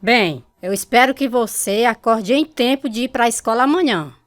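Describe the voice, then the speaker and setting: A woman's voice: a little high, moderate pace, dry recording, close to an everyday voice. A woman playing a practical, firm mother in a radio drama, giving instructions. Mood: stern concern. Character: practical, firm hard-pressed mother of a household.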